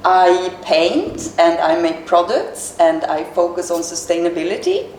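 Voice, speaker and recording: Swedish woman guest, kinda low voice, lots of ums, roomy echo. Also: animated, measured pace, a little mellow, slight background noise. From Sweden. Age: young adult. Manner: hesitant, many fillers and restarts. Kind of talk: casual interview.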